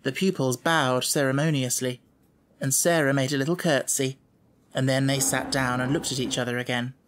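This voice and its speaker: A man's voice: mid-range voice, fairly even intonation, normal speed, background noise. A man reading a children's story as scripted audiobook narration. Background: music.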